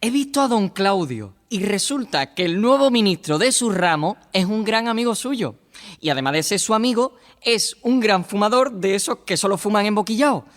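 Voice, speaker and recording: A man playing an excitable, chatty male friend bringing news in a theatrical radio drama. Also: high-pitched, normal speed, long reverb tail. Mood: excited.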